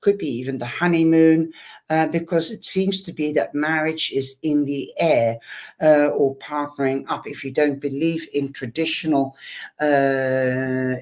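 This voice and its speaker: A man doing a tarot and tea-leaf reading to camera, hesitant and full of ums, speaking fondly to the viewer. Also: mid-range voice.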